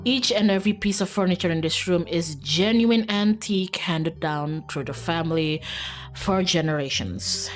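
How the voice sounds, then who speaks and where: A woman's voice: on the low side, moderate pace, lightly acted. A woman voicing a stern, testy young female cousin with a curt, condescending, formal manner in a visual novel. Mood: dismissive. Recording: background music.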